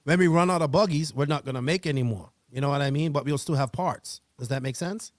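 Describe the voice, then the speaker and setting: Male podcast host, lively higher voice, dry close sound. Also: fast, dry recording. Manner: animated, exclamatory, taking calls. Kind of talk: casual podcast chat.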